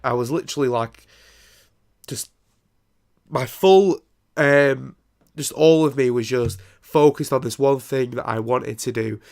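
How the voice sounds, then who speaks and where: Young podcast host with a blocked, nasal voice and plenty of gravel, chatting loosely at an ordinary pitch. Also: male voice, a little slow, pauses often, a little mellow. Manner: rambling, with many ums and restarts. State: congested and nasal from a throat infection. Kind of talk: casual solo podcast chat.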